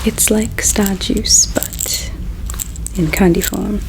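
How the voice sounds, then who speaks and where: Woman acting as female alien, ASMR roleplay, breathy whisper, strong creak, tender, wistful, resigned, hushed delivery. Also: mid-range voice, fairly even intonation, rough recording. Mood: tender, caring. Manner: slow, hushed, tender, reflective. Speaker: ASMR performer.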